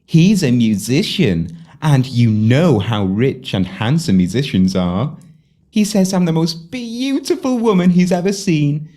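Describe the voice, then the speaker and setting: Slow, vain pantomime stepsister. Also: female voice, low-pitched, sing-song, dark tone. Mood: giddy. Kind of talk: audio pantomime acting.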